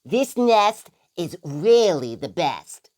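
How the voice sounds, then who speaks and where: A woman's voice: lowish voice, sing-song, slow, darkish tone. A woman voicing a bossy, fussy female bird in a storybook, with an emphatic, complaining way of talking. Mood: pleased, decided. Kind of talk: storybook character voice. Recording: music behind the voice.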